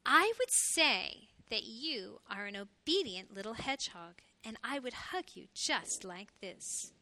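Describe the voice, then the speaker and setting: A woman voicing a tender mother hedgehog who gently questions her child in a children's storybook, softly and in measured phrases. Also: high-pitched, big pitch swings, exaggerated voice. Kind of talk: children's storybook read aloud.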